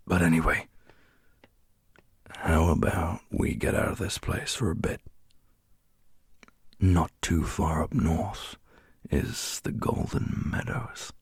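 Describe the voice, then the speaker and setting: Casting: wry romantic dark prince, slow, husky near-whisper, intimate ASMR roleplay performed by a man. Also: no echo, clean studio sound, exaggerated voice. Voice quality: low, breathy, whispery.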